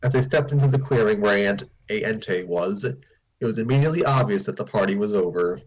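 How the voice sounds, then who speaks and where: A man's voice: lowish voice, a little fast, a little hiss or hum, poor audio. A man reading a story aloud informally, now and then stumbling and repeating words. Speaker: story reader on a stream.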